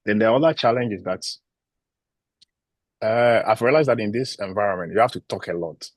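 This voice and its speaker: A man from Ghana with a Ghanaian English accent, giving measured interview answers about teaching. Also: lowish voice, no room sound. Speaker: international PhD student and teacher.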